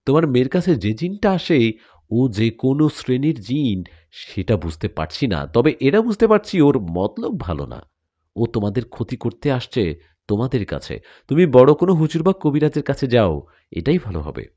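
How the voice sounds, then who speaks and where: Village healer in an audio tale, read by a male narrator: grave, advising, warm dark tone, dry sound. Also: medium pitch, very animated, moderate pace, no echo. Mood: grave warning.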